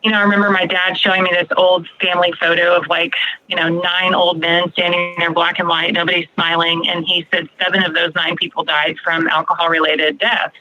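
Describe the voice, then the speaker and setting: A woman's voice: lowish voice, little pitch movement, a little fast, bright, forceful tone, highs cut off. A woman in her forties from Texas with an American accent, telling her personal story conversationally as a podcast guest. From Texas, United States. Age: 40s.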